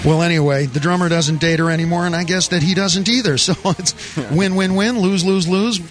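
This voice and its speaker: A man's voice: sits high, fairly even intonation, a little fast, soft background music. A man hosting a call-in radio show, joking and rambling while he reviews songs and tells his board operator what to play. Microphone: studio microphone.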